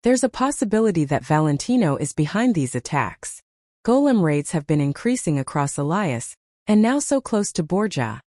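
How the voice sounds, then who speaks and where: A woman's voice: on the low side, big pitch swings, normal speed, warm tone, dry recording, studio quality. A woman voicing a serious, grave male marquis in a narrated story. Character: serious, frowning marquis, grave tall nobleman.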